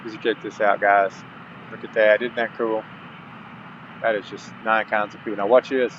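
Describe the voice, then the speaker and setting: A man's voice: lowish voice, little pitch movement, moderate pace. Dull-sounding man doing game tutorial commentary. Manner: casual, folksy asides to viewers. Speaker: host of a gaming channel. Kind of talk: gameplay tutorial commentary, partly reading on-screen instructions aloud. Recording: dull lo-fi mic, faint background noise.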